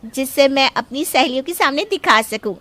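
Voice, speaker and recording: A demanding, entitled young sister-in-law from a storybook drama, acted by a woman. Her voice is very high and piercingly bright. She wheedles and pushes like a spoiled girl. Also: expressive, brisk, no room sound. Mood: demanding, pouty. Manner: pushy, wheedling. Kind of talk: dramatised Hindi story acting.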